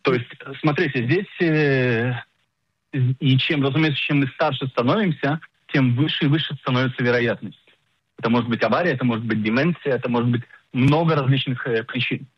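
A middle-aged man explaining things as an expert guest in a radio interview, didactic and emphatic, pausing to search for words. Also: medium pitch, slightly rough, no room sound. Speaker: insurance agent and financial planner, radio guest. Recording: dull lo-fi radio sound.

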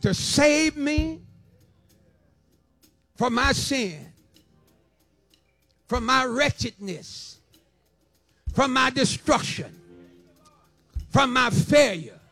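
A man's voice: extremely high pitch, vocal fry, a bit bright. A man in his seventies preaching an impassioned sermon with emphatic, rhythmic repetition. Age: 70s.

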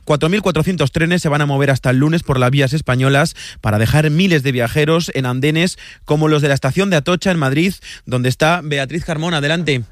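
Brisk male radio news anchor. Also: fairly high pitch, no room sound, clean studio sound. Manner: brisk, crisp delivery. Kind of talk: radio news bulletin reading.

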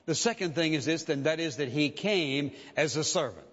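Man, pastor, sermon, emphatic repetition, slightly high voice, dull lo-fi recording. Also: fairly bright tone, breathy edge. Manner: measured, emphatic preaching with repeated phrases. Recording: dull lo-fi sound with long hall reverb.

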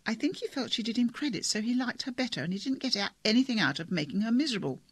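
A narrator voicing a tearful, anxious teenage girl who keeps apologizing, in a high, breathless, rushed way. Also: lively intonation, husky, no room sound. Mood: earnest.

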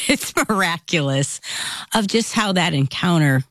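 A woman's voice: on the low side, animated, measured pace, dry recording. An American woman speaking as a talk show co-host in a casual, conversational manner.

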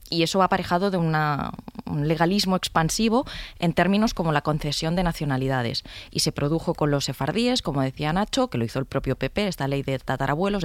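A woman's voice: deep voice, no room sound. A woman, arguing a political point as a commentator on a radio panel. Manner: fast, forceful argumentation. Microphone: studio microphone.

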